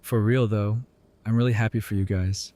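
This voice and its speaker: A man's voice: fairly low pitch, steady tone, normal speed, warm tone, close to an everyday voice. A man playing a wry, earnest young doctor in an audio drama, with a casual, teasing delivery. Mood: sincere, reflective. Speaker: voice actor in a fan audio drama. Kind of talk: conversational audio drama acting.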